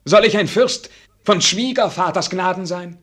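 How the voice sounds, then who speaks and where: An actor playing a brooding, self-doubting prince in a German radio play, husky, reflective and stiffly formal. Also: male voice, sits high, normal speed, a bit bright. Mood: moved, troubled.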